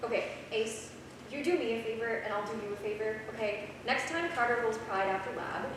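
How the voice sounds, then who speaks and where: A woman's voice: a little high, fairly quick, lightly acted. A woman acting a bold, teasing young science student in a staged play reading. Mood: scheming. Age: young adult. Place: small theater stage. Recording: very echoey, noisy theater, far off.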